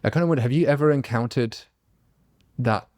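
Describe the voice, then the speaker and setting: A man's voice: medium pitch, fairly quick, darkish tone. A man hosting a podcast, talking in an earnest, reflective way in a casual conversation. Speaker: podcast host.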